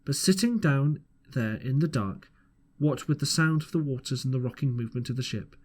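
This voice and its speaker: A man's voice: mid-range voice, animated, moderate pace, darkish tone. A man narrating an audiobook as an amateur reader, earnest, with the odd stumble over the text. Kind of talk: scripted storybook narration.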